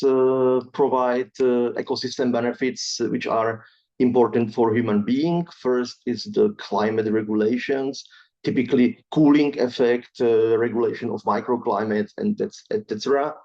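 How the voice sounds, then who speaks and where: A man from the gives an academic webinar talk in mid-pitched, careful English. He fills his pauses with steady "uh"s as he works through his slides. The sound comes through a dull, lo-fi video call line. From Czech Republic. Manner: hesitant, many filler sounds, careful non-native phrasing. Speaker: researcher presenting a webinar.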